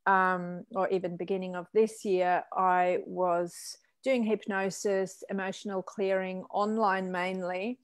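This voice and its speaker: A woman in her forties from Switzerland, a physiotherapist guest telling her story in a chatty, frank, animated way over a video call from her living room. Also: mid-range voice, measured pace, hardly any pauses, clean tone, no echo. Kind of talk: casual personal storytelling.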